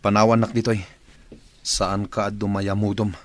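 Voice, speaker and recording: An actor playing a gruff, curt man in an Ilocano radio drama. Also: fairly low pitch, flat delivery, unhurried, dry recording, close to an everyday voice.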